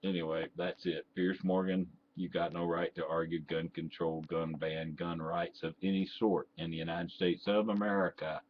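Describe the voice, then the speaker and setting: A man's voice: deep voice, little pitch movement, normal speed, few pauses. An older man with a Texan accent, talking bluntly and indignantly straight to camera, full of "uh"s and restarts. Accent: Texan, mixed with Southern. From Texas. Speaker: vlogger and ham radio operator. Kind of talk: opinionated rant to camera. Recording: dull lo-fi home recording with faint noise.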